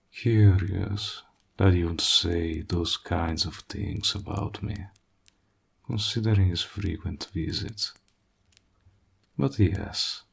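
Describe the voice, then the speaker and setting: A man playing a suave, courteous and flirtatious warlock with a sly edge, soft-spoken and deliberate, in an intimate audio roleplay. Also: sits low, full character voice. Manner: soft-spoken, breathy, slow and deliberate, flattering. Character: suave, courteous male warlock, hospitable, flirtatious, slyly menacing.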